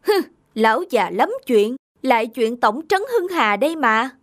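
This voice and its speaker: A woman voicing a haughty, hot-tempered young male emperor in an animated folk tale, speaking imperiously. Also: on the high side, measured pace, no room sound, studio quality. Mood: irritated. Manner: imperious, commanding. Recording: faint music underneath.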